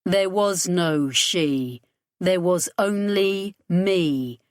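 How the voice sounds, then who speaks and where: Woman as a terse, slow young male hybrid. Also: on the low side, no room sound, clean studio sound. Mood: firm, wry. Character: hollow-faced, scarred young male human-alien hybrid, terse and wry.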